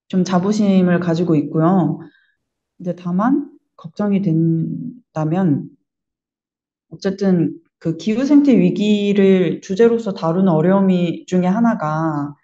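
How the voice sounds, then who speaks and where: A woman's voice: fairly low pitch, warm tone, muffled recording. A woman from a performing arts team giving a thoughtful review in a group discussion, often searching for words.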